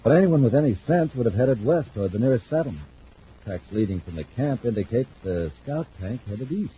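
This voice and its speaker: An American actor playing a troubled, plain-spoken construction superintendent in a vintage radio adventure serial. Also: male voice, medium pitch, animated, fairly quick, lightly acted. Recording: muffled, faintly noisy vintage radio recording.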